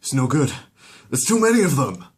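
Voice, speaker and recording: A man's voice: medium pitch, very animated, fairly quick, pitched above the performer's own voice, natural voice. Man acting a melodramatic exterminator, raised urgent voice. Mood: panicked. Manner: urgent, war-movie melodrama. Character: gung-ho, melodramatic male exterminators in battle.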